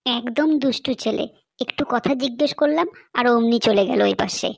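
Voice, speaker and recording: A woman's voice: a little high, moderate pace, vocal fry, dry recording, clean studio sound. A woman voicing a chatty, cheeky new schoolchild in a comedy cartoon, teasing and eager. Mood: miffed.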